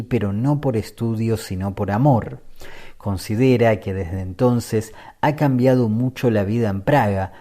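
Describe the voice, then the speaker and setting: A man narrating a radio podcast feature in a measured, scripted read. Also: on the low side, mellow. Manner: measured, read-aloud delivery.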